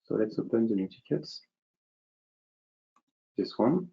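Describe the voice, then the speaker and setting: A man presenting a software demonstration in an unscripted, explanatory way, hesitantly thinking aloud as he goes. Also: on the low side, lively intonation, unhurried, creaky, muffled recording.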